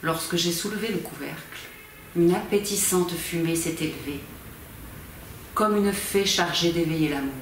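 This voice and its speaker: A woman in her fifties, a volunteer amateur reader, gives a theatrical reading of a novel with measured, expressive phrasing, recorded indoors in a small library corner by a camera at a distance. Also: lowish voice. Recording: very echoey room, faint background noise.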